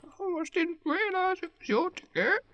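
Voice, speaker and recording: A woman's voice: very high-pitched, lively intonation, normal speed, fairly bright tone, no room sound, muffled recording, voice pushed up. A woman voicing a devoted, boyish mutant pet pigeon with a put-on New Orleans Southern accent in an amateur radio play. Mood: cheeky. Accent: Southern American, New Orleans, put on.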